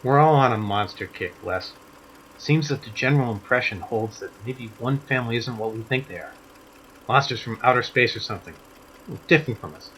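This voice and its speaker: A man's voice: mid-range voice, fairly quick, a bit bright, a little hiss or hum, lightly acted, poor audio. A man playing a level-headed, wry neighbour in a radio drama. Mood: sardonic. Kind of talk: radio play acting.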